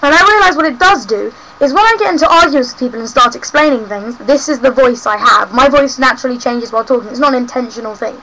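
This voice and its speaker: A woman's voice: high-pitched, fast talker, hardly any pauses, pushed and bright, poor audio. A young woman from South West London with a London accent, rambling and ranting cheekily in a casual home vlog. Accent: London English. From South West London, England. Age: young adult. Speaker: vlogger. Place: home room.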